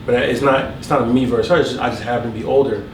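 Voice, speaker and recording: Man, mid-pitched, measured and reflective; mic well off in an echoey room, with noise behind him. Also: fairly even intonation. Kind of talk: casual podcast conversation. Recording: roomy, distant mic, background noise.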